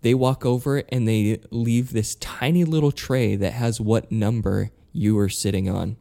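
A man's voice: fairly low pitch, steady tone, unhurried, gravelly, warm tone. A man talking casually as a podcast co-host, telling anecdotes in an emphatic, informal way with some swearing.

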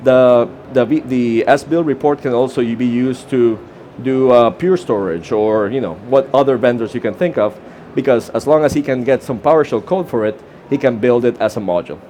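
A man's voice: mid-range voice, normal speed, warm tone, a little hiss or hum. A man with a Costa Rican Spanish accent gives a short, informal conference talk, with fillers and restarts. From Costa Rica. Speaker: conference presenter. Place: conference session room.

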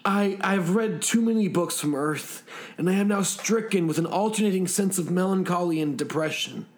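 Sobbing spaceship pilot, done by a man, high-pitched, melancholy, halting and self-pitying, for a comic story. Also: natural voice. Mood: tearful despair. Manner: tearful, stammering, self-pitying.

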